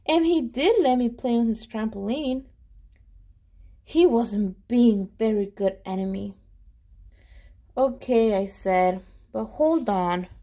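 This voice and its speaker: A woman with a fairly high voice reads a picture book aloud for children. She is expressive and animated, leaning into dramatic emphasis and speaking to her young listeners as a storyteller. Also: takes it slowly, long pauses. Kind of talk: expressive storybook reading, pausing to ask children questions.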